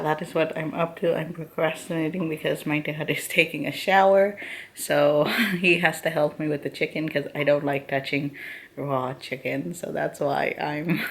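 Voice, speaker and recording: A woman talking in a casual, chatty, informal way to her vlog at home. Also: low-pitched. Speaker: vlogger. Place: indoors at home, living room and kitchen. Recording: noisy home camera audio.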